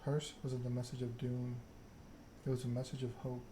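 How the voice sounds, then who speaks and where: A man plays a proud, scheming game vampire, delivering a self-important monologue in ASMR style. The pitch is middling and very level, and clear noise sits behind the voice. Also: completely flat delivery, normal speed, lightly acted. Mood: bitter, resolute. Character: proud, scheming vampire aristocrat telling their story. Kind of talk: ASMR read-aloud of game dialogue.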